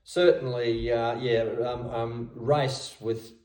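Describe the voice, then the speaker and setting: A man's voice: medium pitch, steady tone, slow pace, a little mellow. Male tutor, patient and repetitive, recorded in a roomy space at a distance. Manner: patient, repeats words, stammering restarts. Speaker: English tutor. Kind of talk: casual one-on-one language lesson.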